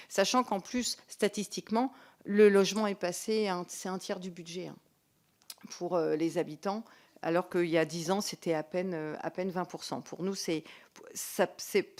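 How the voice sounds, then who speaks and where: A woman's voice: fairly low pitch, steady tone, normal speed, a little mellow. A woman speaking as a local-government representative at a hearing, semi-formal and earnest, pressing her points emphatically. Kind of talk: semi-formal spoken testimony, answering questions.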